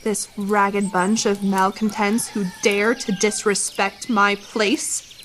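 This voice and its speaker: A woman's voice: mid-range voice, flat delivery, takes it slowly, fairly bright tone, a little hiss or hum. A woman playing a pompous, scheming army commander in a radio theatre drama, speaking formally and smugly. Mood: haughty, threatening. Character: haughty, scheming army commander, vindictive and smug.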